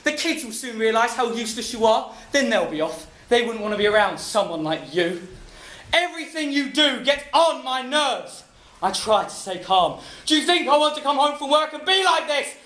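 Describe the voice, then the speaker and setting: A bullying husband with a sneering, taunting edge, played by a man. His voice sits very high and swings widely, and it has a bright, tense ring. The recording is roomy and echoey. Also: animated, normal speed, full character voice. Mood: furious. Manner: sneering, taunting, explodes into shouting. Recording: roomy echo.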